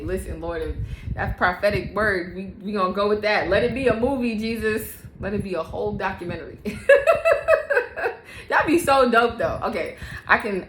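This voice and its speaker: A woman's voice: on the low side, a bit bright, roomy sound, a little hiss or hum, poor audio. A woman hosting her own faith-based mom podcast, talking casually to camera, chatty and often laughing, repeating herself for emphasis. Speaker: podcast host and mother.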